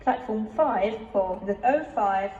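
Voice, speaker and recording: A British woman reads formal, measured train announcements in separate scripted phrases over a railway station's public address system. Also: medium pitch, animated, dark tone, dull audio. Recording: distant, echoing station PA with clear noise.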